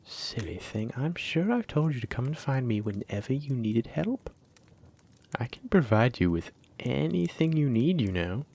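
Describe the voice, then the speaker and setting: A man's voice: mid-range voice, sing-song, a little mellow, heavily acted. A man playing a flirty, teasing dragon-blooded librarian in a roleplay audio drama, coy and persistent, lingering on his phrases. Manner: coy, teasing, drawn-out phrases with breathy asides.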